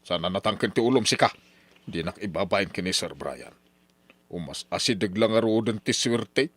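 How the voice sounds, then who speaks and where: A man's voice: fairly low pitch, lively intonation, moderate pace, a bit bright, no echo. An actor with an Ilocano accent playing a scheming, bossy, middle-aged farmer father in an old-style radio drama, grumbling and scolding. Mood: insistent, scheming. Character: scheming, money-minded father, a poor farmer, nagging and bossy.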